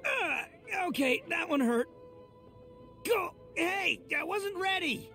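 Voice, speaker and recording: A man's voice: very high-pitched, lively intonation, slow, vocal fry, bright, forceful tone, faint music behind, heavily acted. A man voicing a brash, boisterous young oni gang boss in a video game, cocky and theatrical with slangy showboating. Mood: strained, defiant. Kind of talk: animated video game character voice lines.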